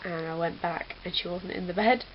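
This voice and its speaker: A woman's voice: lowish voice, steady tone, fairly quick. A young woman from England chatting casually as a vlogger, rambling with lots of fillers. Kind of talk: casual chat. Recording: dull lo-fi webcam audio with faint hiss.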